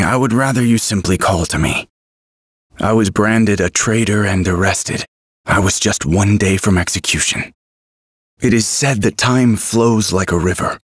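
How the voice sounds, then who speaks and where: A husky, reserved scholar-mage. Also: male voice, lowish voice, no echo. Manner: measured, quiet, reflective. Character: calm, melancholy male scholar-mage, reserved. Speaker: game character. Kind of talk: game voice clips. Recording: dry studio recording.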